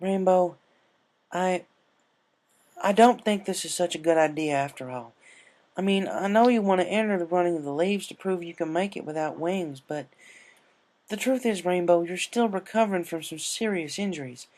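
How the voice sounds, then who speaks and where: A man's voice: high-pitched, normal speed, darkish tone, voice pushed up, lightly acted. A man voicing a stubborn, caring cartoon farm pony, a mare with folksy country phrasing. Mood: worried, firm.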